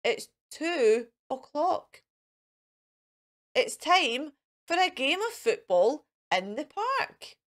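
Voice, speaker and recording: A woman reads a children's picture book aloud. Her voice is high and swoops expressively, at a very slow, deliberate pace. She acts out sounds and turns aside to chat to young viewers. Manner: expressive, sing-song, acting out sound effects. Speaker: children's storyteller.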